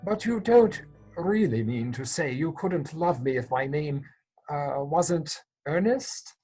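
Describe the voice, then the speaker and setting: A man acting as an earnest, lovestruck gentleman in a period stage comedy. The voice is breathy and rough-edged and leaps up and down expressively as he stammers through his flustered courtship. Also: fairly high pitch, animated, slow pace, soft background music, full character voice, rough recording. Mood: flustered, hesitant. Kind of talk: theatrical dramatic reading of period comedy.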